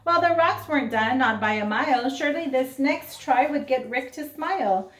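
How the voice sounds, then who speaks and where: Expressive woman reading aloud, roomy echo. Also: sits high, normal speed. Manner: expressive, dramatic. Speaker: storybook reader. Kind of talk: read-aloud for children with asides and questions. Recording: roomy, echoing sound.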